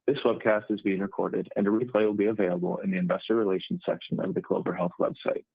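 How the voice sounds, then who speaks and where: A man's voice: lowish voice, quick pace, creaky, breathy edge, no echo, phone-quality sound. A man reading a formal corporate script as an investor relations officer on a conference call line.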